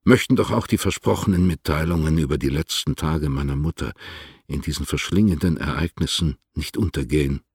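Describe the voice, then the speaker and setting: An older poet reading his letters in a radio play. The voice is very low and creaky, with a husky grain. He is courtly, formal and reserved, and the sound is dry and close. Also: darkish tone, dry recording, heavily acted. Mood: measured, reflective. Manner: formal, deliberate, measured.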